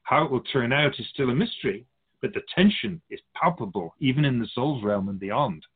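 A man plays a wise otherworldly guardian of souls in a radio drama, patient and reassuring. The voice is husky and swings widely in pitch, with a measured, philosophical delivery. The recording is a little dull and narrow. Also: lively intonation. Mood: grave, explanatory. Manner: measured, philosophical, musing, addressing humanity as an outsider.

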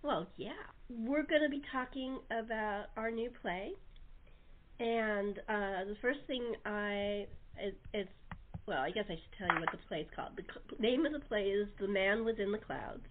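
A woman's voice: medium pitch, normal speed. Woman hosting over a tinny phone line. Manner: enthusiastic. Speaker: talk show host. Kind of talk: casual interview chat.

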